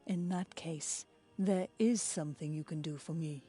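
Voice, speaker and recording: A woman's voice: deep voice, measured pace, dark tone, husky, faint music behind. A woman playing a regal, dignified queen who is concerned for her embattled people, in dramatic video game style. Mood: composed, concerned.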